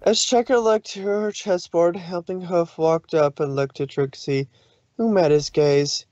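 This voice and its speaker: A man's voice: on the high side, measured pace, darkish tone, no room sound. Guy narrating a fanfic story dramatically, dry room, mic a bit muffled.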